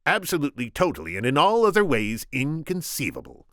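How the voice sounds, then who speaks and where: Villain: man doing a shrill, arrogant little hunchback schemer, storybook reading, dry close sound. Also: wildly swooping pitch, a little fast, a bit bright, no room sound. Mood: emphatic. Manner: shrill, pompous, domineering. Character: haughty scheming male hunchback kidnapper, shouting commands.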